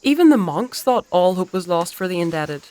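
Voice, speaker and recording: A woman playing a composed fantasy priestess and mentor in a dark audio drama, measured and articulate, with playfully dry wit. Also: on the low side, very animated. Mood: earnest, reflective.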